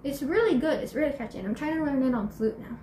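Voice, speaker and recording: Give me a bubbly teenage girl with a high voice rambling through a home vlog, recorded in a roomy space. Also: animated, fast talker, warm tone, a little hiss or hum. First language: Spanish and English. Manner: bubbly and chatty, laughs often, many fillers and restarts. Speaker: YouTuber. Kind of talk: casual Q&A vlog. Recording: echoey room, faint ambience.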